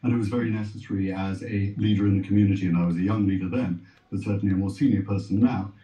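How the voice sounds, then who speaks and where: A middle-aged man giving earnest, measured and formal answers as a panel guest, heard as TV sound replayed through speakers. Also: lowish voice, fairly even intonation, dark tone, reverberant, poor audio. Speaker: rabbi on a discussion panel.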